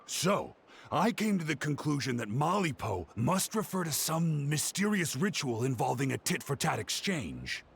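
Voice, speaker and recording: Nerdy excited scholar, gravelly, lively pitch, lectures a lot. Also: male voice, a little hiss or hum. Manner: lecturing, gets carried away. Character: earnest excitable scholar man, obsessed with lore. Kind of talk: game cutscene voice acting. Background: faint game score music.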